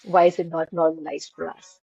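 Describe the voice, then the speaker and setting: A woman hosting a podcast who asks long, halting questions full of "uh" and "you know". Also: deep voice, flat delivery, creaky, a little mellow, slightly rough, dry recording. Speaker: podcast host. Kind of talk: interviewing a guest. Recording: dull, dry lo-fi audio.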